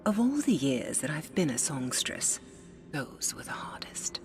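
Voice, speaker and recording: A woman voicing a blind older songstress in a video game, who speaks softly, often in a hushed, intimate half-whisper, wise and gently teasing. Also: sits low, very animated, a little slow, over music. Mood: reflective. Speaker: game character.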